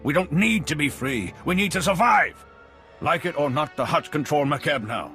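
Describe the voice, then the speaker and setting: An actor playing an anxious, officious politician in a video game, with an earnest, fussy, insistent manner. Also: male voice, a little high, animated, normal speed, sharp tone, faint music behind. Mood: insistent, exasperated. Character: anxious, officious male politician, cautious appeaser.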